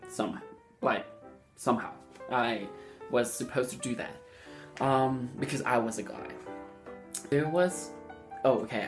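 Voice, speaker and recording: Slow, halting young man telling his story. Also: medium pitch. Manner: hesitant, halting, searching for words. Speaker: vlogger telling a personal story. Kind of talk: casual personal storytelling.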